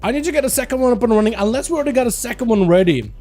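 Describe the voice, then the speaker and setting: A high-voiced young man from Russia talks loosely through a headset mic, full of fillers and restarts. His tone is mellow and relaxed. Faint music plays underneath. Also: rapid-fire. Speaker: streamer. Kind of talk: casual livestream chat.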